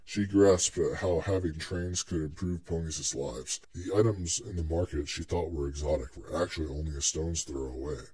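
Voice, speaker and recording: Male narrator for scripted fiction, low register with a husky grain. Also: a little mellow, rough recording.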